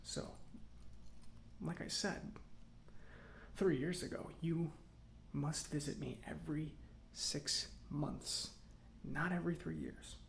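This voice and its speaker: Soft ASMR robot mechanic, acted by a man: near-whispering, slightly high male voice with gravelly fry, chatty and smug, musing at leisure. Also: steady tone, slight background noise. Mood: gently scolding. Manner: unhurried, hesitant musing with pauses. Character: chatty, self-satisfied government robot mechanic, gently scolding. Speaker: ASMR roleplay performer. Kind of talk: soft-spoken ASMR roleplay.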